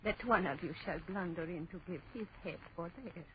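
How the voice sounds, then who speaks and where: A woman's voice: fairly low pitch, a little fast, natural voice. An actress playing a sinister old French woman in vintage radio horror, speaking in ominous, incantatory repetitions with heavy drama. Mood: grave, chanting. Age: older. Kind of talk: 1940s radio horror acting. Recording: muffled, noisy old radio recording.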